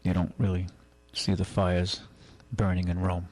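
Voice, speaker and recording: A man hosting a call-in radio show, talking casually and haltingly, rambling as he goes and reading out items. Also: sits low, completely flat delivery, darkish tone, no echo. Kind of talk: casual talk radio, reading news and chatting. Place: radio studio. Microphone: close studio microphone.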